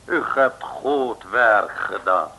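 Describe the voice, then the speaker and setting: A man playing a polite, sinister schemer in a comic radio serial, speaking formally and deliberately. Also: mid-range voice, animated, creaky, bright, forceful tone, husky, full character voice. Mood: calm, sinister. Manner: formal, deliberate, drawn-out delivery. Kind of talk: 1950s Dutch comic radio serial acting.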